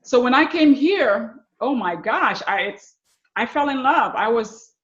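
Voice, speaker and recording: A middle-aged woman with a Haitian accent telling personal stories as an interview guest, often halting and repeating words. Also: a little high, expressive. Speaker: podcast guest, success coach. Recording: slightly dull, lo-fi connection.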